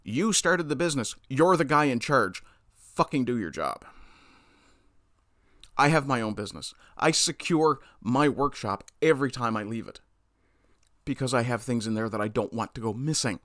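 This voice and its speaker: A man's voice: mid-range voice, expressive, normal speed, a bit bright, no echo, studio quality. A man hosting a casual livestream, bluntly commenting on news and reading chat, often swearing as he rants. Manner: blunt, profane, ranting.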